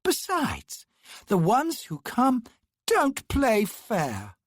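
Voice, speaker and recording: A man's voice: very high-pitched, wildly swooping pitch, very slow, dry recording, clean studio sound, pitched above the performer's own voice, full character voice. A man voicing a young male immortal twin who speaks in a light, drawling, mocking way. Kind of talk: audiobook character reading.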